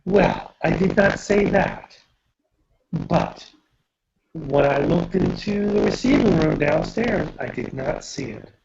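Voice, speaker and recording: A man putting on a gravelly French charmer. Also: a little high, animated, takes it slowly, darkish tone, heavily acted. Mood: courtly. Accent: French, put on. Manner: gallant, courtly. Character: smooth-talking Frenchman, adult man, flirtatious. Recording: dull lo-fi mic.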